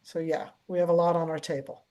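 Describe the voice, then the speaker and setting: A woman's voice: fairly low pitch, level tone, brisk, mellow, slight background noise. A middle-aged American woman, a security analyst, answering a question in an online briefing with earnest emphasis and frequent restarts. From United States.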